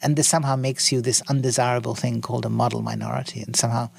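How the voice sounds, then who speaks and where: A man in his sixties from India, a guest in an interview, speaking in an articulate, measured way with frequent ums and restarts. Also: medium pitch, little pitch movement, vocal fry, darkish tone, slightly rough, no echo. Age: 60s. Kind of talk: interview conversation.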